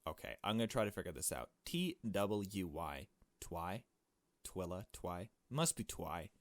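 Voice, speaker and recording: A man's voice: low voice, expressive, unhurried, dry recording. A man giving casual, chatty let's play commentary, with playful asides as he reads a visual novel game aloud. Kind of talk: casual game commentary mixed with read-out dialogue. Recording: game music behind the voice.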